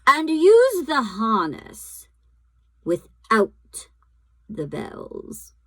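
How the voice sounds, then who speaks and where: A woman's voice: a little high, huge pitch swings, extremely slow, no echo, exaggerated voice. Woman voicing a cold, cruel witch queen. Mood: commanding.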